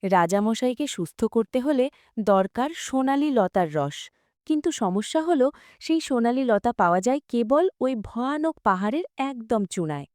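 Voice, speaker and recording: A woman's voice: fairly high pitch, lively intonation, moderate pace, darkish tone, no room sound, clean studio sound, voice pushed up, natural voice. A woman voicing a worried male bear doctor in a cartoon story, grave, dramatic and foreboding.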